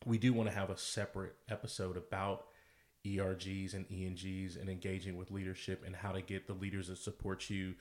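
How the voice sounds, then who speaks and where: A man co-hosting a podcast who speaks in a friendly, measured way and uses casual fillers. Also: sits low, flat delivery, darkish tone. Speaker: podcast co-host. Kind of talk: casual conversation about workplace groups.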